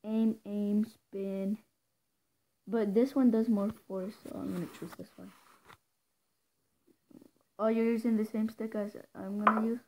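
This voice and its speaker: A woman chatting casually while playing a pool game, excitable and quick to squeal and cheer at shots. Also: mid-range voice, a little hiss or hum, rough recording. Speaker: gameplay co-player. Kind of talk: casual chat over a game.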